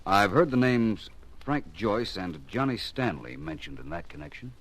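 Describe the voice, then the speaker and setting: A man's voice: medium pitch, big pitch swings, normal speed, vocal fry, fairly bright tone, a little hiss or hum. A man playing a courteous, probing private investigator with formal, polished speech in an old radio drama. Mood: probing, pointed. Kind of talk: old-time radio detective drama acting.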